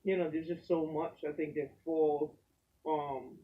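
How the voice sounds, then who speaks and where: A man, a pastor and poet, talking in an animated, preacherly way in a casual faith conversation. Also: fairly high pitch, a little slow, distant mic, phone-quality sound.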